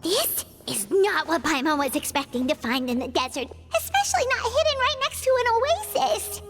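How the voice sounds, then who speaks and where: A tiny, excitable fairy companion from a video game, with an extremely high childlike voice. The delivery swoops up and down with exclamations and quick frights, over clearly audible music. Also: female voice, full character voice. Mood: curious, surprised. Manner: refers to itself in third person, exclaims. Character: small childlike floating fairy companion, excitable and easily scared.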